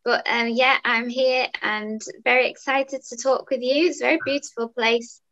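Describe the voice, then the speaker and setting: A woman's voice: on the high side, fairly even intonation, moderate pace, fairly bright tone. A woman in her thirties, a singer, chatting upbeat with fillers as a guest over a video call. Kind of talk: casual interview chat. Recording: dull lo-fi video call audio.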